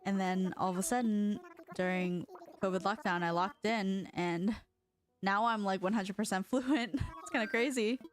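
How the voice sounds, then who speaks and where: A woman's voice: medium pitch, normal speed. A young woman from China whose first language is Chinese, streaming in a rambling, casual chat full of fillers and restarts.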